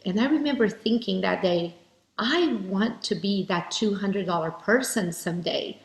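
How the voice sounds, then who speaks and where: A woman from Brazil giving an earnest, heartfelt motivational keynote speech. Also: mid-range voice. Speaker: keynote speaker. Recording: roomy echo.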